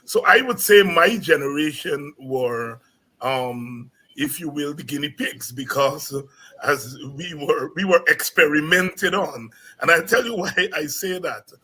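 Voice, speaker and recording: A man's voice: fairly high pitch, a little slow, slightly rough, rough recording. A man in his sixties from Guyana with a Guyanese accent, reflecting as a guest on an interview and often repeating words as he starts a thought. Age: 60s. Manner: animated storyteller, repeats words when starting. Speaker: guest, university lecturer.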